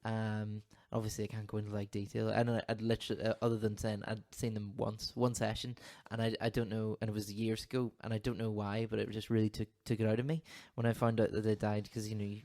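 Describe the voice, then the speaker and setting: A man's voice: on the low side, flat delivery, fast talker, few pauses, warm tone. A Northern Irish man co-hosting a casual podcast, rambling and restarting his sentences, with a slight cough. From Northern Ireland. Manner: rambling, self-interrupting, laughs easily. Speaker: podcast co-host, counsellor. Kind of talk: casual chat.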